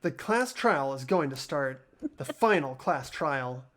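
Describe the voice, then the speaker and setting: A man's voice: mid-range voice, animated, slow pace, a bit bright. A man voicing an earnest, anxious teenage boy hero in a fan-dubbed game, who narrates his own inner thoughts. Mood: tense.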